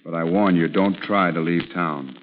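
Man as a gruff, low-voiced police commissioner. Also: moderate pace, lightly acted. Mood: brusque, skeptical. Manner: blunt, brusque, authoritative. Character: gruff, skeptical, no-nonsense male police commissioner. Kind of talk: old-time radio drama acting. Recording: muffled, hissy old radio recording.

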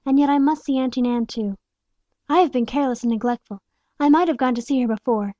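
A woman voicing a gentle, refined famous singer who speaks earnestly. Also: high-pitched, fast talker, no room sound, highs cut off, close to an everyday voice.

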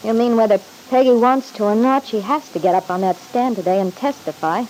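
A woman's voice: on the high side, brisk, darkish tone, lightly acted, low-quality recording. Wisecracking young woman played by an actress, hissy old radio. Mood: worried. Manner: slangy, breezy tough-gal talk. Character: wisecracking, guarded young woman, cynical about love. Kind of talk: old-time radio soap opera acting. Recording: hissy vintage radio recording.

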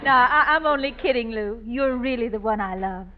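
Woman playing a high-voiced, sassy secretary, American. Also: normal speed, a loud crowd, very noisy, natural voice. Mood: flirtatious. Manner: pert, teasing comebacks. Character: sassy, flirtatious secretary who teases her suitors. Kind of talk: 1940s radio comedy acting. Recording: muffled old radio recording.

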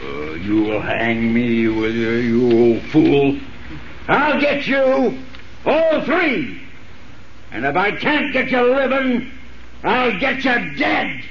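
A defiant condemned killer in an old radio melodrama, menacing and rough-spoken. He drags his threats out slowly and swings widely in pitch. The 1930s recording is dull, with some noise. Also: sing-song, full character voice. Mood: vengeful. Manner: sneering, threatening, rough uneducated talk. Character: vengeful condemned male murderer, defiant and menacing.

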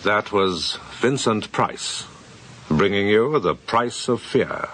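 A man reading radio credits as an announcer, in a formal and measured manner. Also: lowish voice, lively intonation, music in the background. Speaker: closing announcer.

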